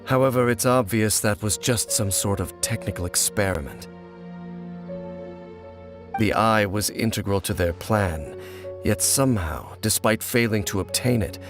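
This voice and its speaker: A deep-voiced, brooding ancient warrior played by a man speaks with solemn deliberation. He sounds like a betrayed, resolute wanderer from a fantasy game. Music plays clearly behind him. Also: natural voice. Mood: grave, analytical. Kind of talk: dramatic video game dialogue. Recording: clean voice over background music.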